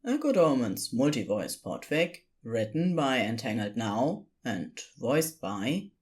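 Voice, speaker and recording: Fiction narrator: unhurried, deliberate read with highly varied intonation. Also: big pitch swings, darkish tone. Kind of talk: scripted story narration.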